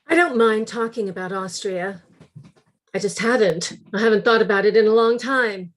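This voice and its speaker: An actress playing a thoughtful middle-aged refugee woman in a dramatic monologue, telling her memories in a measured way. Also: mid-range voice, lightly acted. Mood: reflective. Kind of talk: dramatic monologue at a staged script reading.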